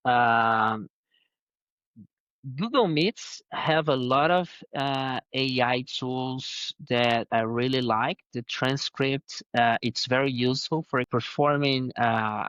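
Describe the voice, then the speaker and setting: A man with a heavy accent who is not a native English speaker, answering interview questions slowly, with many fillers and restarts. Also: mid-range voice, a bit bright, clean tone, no echo, highs cut off. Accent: Spanish-speaking. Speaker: guest, head of design.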